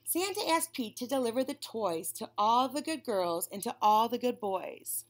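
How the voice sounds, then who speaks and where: High-voiced woman reading aloud to children. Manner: expressive, deliberate storytelling with drawn-out phrases. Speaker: children's storybook reader, teacher. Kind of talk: read-aloud for young children. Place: classroom, indoors.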